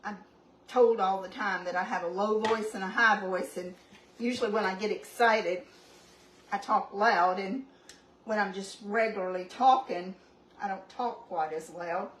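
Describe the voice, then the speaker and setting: A woman's voice: mid-range voice, measured pace, a bit bright. A woman hosting a home cooking video, chatting casually and rambling through the steps in her kitchen. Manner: chatty, rambling, homey asides. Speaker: home cooking channel host. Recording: roomy, distant, noisy home recording.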